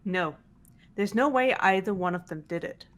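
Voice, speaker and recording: A woman's voice: mid-range voice, normal speed, a bit bright, close to an everyday voice. A woman playing a composed, serious young female student detective, matter-of-fact, in an amateur game dub. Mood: serious, calm. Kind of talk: amateur fully voiced game playthrough.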